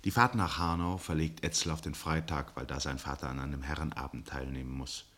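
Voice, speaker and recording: Narrator for a 60s german radio drama, low pitched, measured, articulate, literary style. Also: male voice, steady tone, a bit bright. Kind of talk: scripted literary narration, 1960s radio play.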